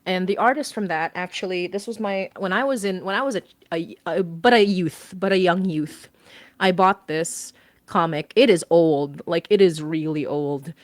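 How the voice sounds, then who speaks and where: A woman's voice: fairly low pitch, moderate pace, no room sound. A woman on a livestream chatting casually about monsters and comics, laughing often and using lots of fillers. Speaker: podcast host and guest writer. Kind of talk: casual stream chat.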